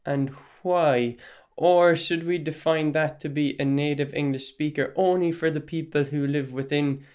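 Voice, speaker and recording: An Irish man hosting a solo podcast, explaining his views in a measured, even-tempered way. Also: on the high side. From Ireland. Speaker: podcast host.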